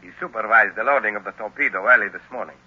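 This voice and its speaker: A man's voice: on the low side, very fast, bright, forceful tone, breathy edge, heavily acted. A man playing a sinister, formally polite villain professor in old-time radio drama, controlled and menacing. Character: smooth-mannered, sinister professor villain, a murderous hypnotist. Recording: muffled old radio recording.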